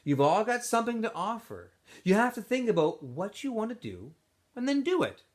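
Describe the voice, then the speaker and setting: A man acts out a storybook camp counselor, male and preachy. The voice sits high and swings widely in pitch, pressing each point on the boys with emphasis. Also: big pitch swings, moderate pace. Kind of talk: storybook character voice.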